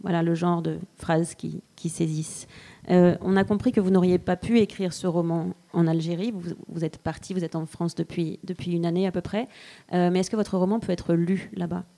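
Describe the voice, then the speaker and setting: A woman moderating a public talk outdoors, brisk and polite. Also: on the low side, steady tone, creaky, dark tone. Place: outdoor town square event.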